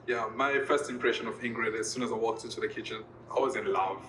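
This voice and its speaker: A man's voice: on the low side, fairly even intonation, quick pace. A young man from Zimbabwe and South Africa telling his story in a recorded interview, laughing easily. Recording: roomy, from a distance, music underneath.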